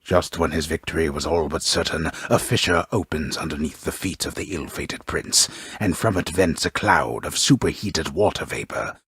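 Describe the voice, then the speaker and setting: A super deep raspy myth narrator for a game, grave n pompous w dry mockery, slow careful wording. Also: male voice, fairly bright tone, no echo, heavily acted. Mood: wry, grave. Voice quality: gravelly, husky. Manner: grandiose, deliberate, drily mocking. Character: grave, wry, omniscient male storyteller of Greek myth. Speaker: storyteller narrator of a mythological video game. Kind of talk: theatrical scripted narration with archaic wording.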